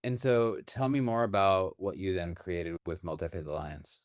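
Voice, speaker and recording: Male host, very deep voice, brisk questions, faint music under, dry sound. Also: fairly even intonation, no room sound. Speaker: podcast host. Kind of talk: interview questions.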